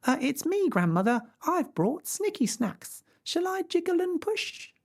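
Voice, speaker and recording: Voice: very high-pitched, big pitch swings, a little slow, voice pushed up, full character voice. A reader doing a chirpy little girl storyteller figure. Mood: chatty. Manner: eager, chirpy questions. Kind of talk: storybook reading.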